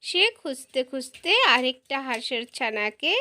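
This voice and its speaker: A woman narrates a children's puppet story. Her voice is high. She talks straight to her young viewers as the storyteller. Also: expressive, moderate pace, sharp tone. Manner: addresses young viewers directly.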